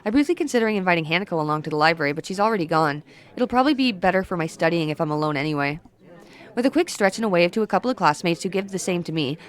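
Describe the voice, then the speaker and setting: A woman reading a visual novel aloud as first-person narration, brisk and now and then stumbling over words. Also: lowish voice.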